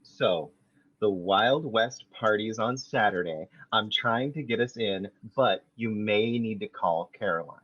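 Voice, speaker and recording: Man reading eager young guy, husky voice, muffled lo-fi mic. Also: on the low side, a little slow, fairly bright tone. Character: eager young man pitching a new roommate. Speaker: podcast host reading a part. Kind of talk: amateur table read.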